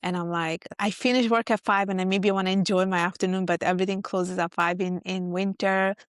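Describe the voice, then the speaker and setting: A woman's voice: mid-range voice, level tone, fairly quick, smooth, no echo, studio quality. A woman in her thirties from Italy, a guest chatting easily about her life in a podcast interview. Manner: friendly, chatty, lists things out. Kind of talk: casual interview chat.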